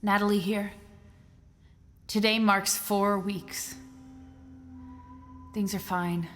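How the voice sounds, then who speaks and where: A woman's voice: medium pitch, fairly even intonation, slow pace, a bit bright, faint music behind. An actress playing a trapped, despairing woman scientist on a space mission, weary and bitter, in an audio drama. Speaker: actor in a musical audio drama.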